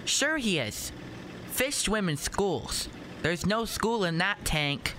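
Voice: mid-range voice, big pitch swings, takes it slowly. An actor playing an earnest, curious and stubborn little boy in a radio play, speaking in blunt, literal childlike answers over noisy ambience. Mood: stubborn, matter-of-fact. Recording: noisy outdoor ambience, boat sounds behind.

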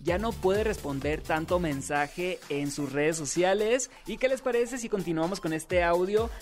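A man's voice: high-pitched, unhurried, faint music behind. Chatty Mexican male radio host. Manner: chatty, playful, catchphrase-driven. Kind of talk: upbeat gossip radio hosting.

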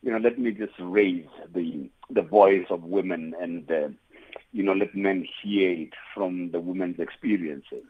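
Low-voiced South African man on a phone-like line. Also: breathy edge. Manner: halting, repeats "you know" very often. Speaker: guest, theatre playwright and producer. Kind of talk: conversational interview answers.

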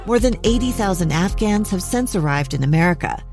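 A woman's voice: on the low side, expressive, brisk, over loud music. A woman journalist reading scripted narration for a podcast promo.

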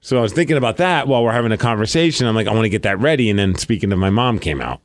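Lively joking man in a dry room. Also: on the low side, no echo. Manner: joking, quick banter with stammered restarts. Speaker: podcast co-host. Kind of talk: casual chat.

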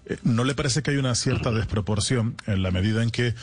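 A man's voice: mid-range voice, a little fast. A man questioning the guest insistently with long, pressing questions. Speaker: co-host asking questions.

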